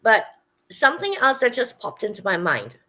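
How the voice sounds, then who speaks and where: Bright-voiced woman host, frank and curious. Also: fairly high pitch, wildly swooping pitch, normal speed. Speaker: podcast host. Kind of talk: casual interview chat.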